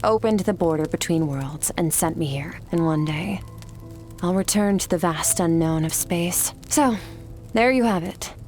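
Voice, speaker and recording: A woman playing an aloof, composed sword master from another world in a fantasy game, speaking in a measured, detached way. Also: on the low side, darkish tone, natural voice. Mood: calm, resolute. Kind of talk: dubbed fantasy game dialogue. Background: game music.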